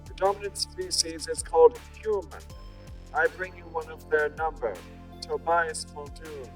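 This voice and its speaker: A man's voice: on the high side, fairly even intonation, unhurried, fairly bright tone. A man playing a stately alien robot envoy, formal and declamatory, in a comic dub. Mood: solemn, formal. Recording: voice under background music.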